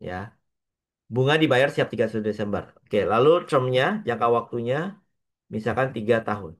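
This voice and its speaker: A man with an Indonesian accent lecturing on a worked example step by step, halting often, mixing in English terms and adding little checking tags. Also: mid-range voice. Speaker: accounting lecturer.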